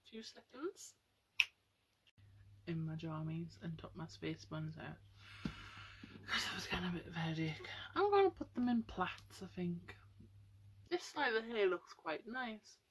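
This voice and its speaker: A young woman with a nasal, breathy voice, chatting casually and rambling at home while getting ready for bed. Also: poor audio. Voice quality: nasal, breathy, high-pitched voice. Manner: chatty, rambling, with many fillers. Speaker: vlogger. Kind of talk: casual chat to camera.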